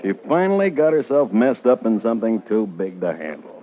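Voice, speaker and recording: A thin, tinny vintage radio recording of a crime drama. a dogged American police captain with a fairly low voice. He is dry and blunt, pressing with clipped questions. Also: sing-song, brisk. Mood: brisk command.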